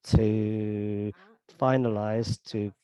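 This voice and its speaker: A man's voice: fairly low pitch, little pitch movement, very slow, mellow, clean tone. A man interpreting live into English on an online meeting, hesitant and full of restarts and stumbles. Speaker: simultaneous interpreter.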